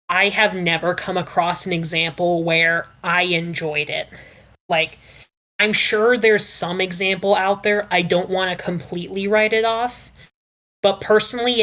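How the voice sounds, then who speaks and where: A woman speaking as a podcast panelist, giving opinions casually in a measured, qualifying way with frequent "like". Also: medium pitch, fairly bright tone.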